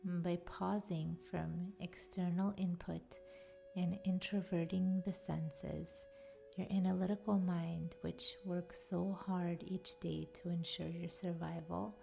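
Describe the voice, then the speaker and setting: A woman with a soft, breathy voice that often nears a whisper, guiding a meditation in a soothing, deliberate manner. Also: on the low side. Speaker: podcast host and yoga nidra guide. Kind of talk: guided meditation narration. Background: faint music.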